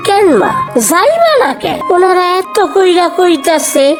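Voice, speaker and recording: A woman's voice: extremely high pitch, big pitch swings, unhurried, heavily acted. Woman doing a wildly swooping, whiny Bangal son. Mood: embarrassed. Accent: East Bengali (Bangal). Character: earnest, embarrassed young married son. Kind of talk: cartoon dialogue. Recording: background music throughout.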